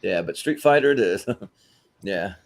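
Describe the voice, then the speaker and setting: A man's voice: low voice, expressive, a little slow, vocal fry. A man hosting a casual panel chat about films, often chuckling as he talks. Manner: chuckles often while talking. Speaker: host of a film tournament panel show.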